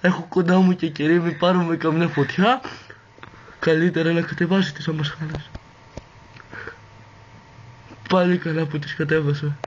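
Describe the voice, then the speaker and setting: Man as a sassy, high-voiced vulgar woman. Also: little pitch movement, natural voice. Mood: panicked. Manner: campy, swooping, crude, exclaiming. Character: sassy, vulgar, vain female character in a parody. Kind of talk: amateur comic parody dub. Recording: muffled, noisy home recording.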